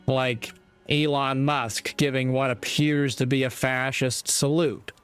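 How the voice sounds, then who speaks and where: A man giving reflective spoken commentary in an earnest, deliberate manner, pausing mid-sentence. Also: medium pitch, level tone, a bit bright, clear, resonant tone, soft background music. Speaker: speaker giving moral commentary.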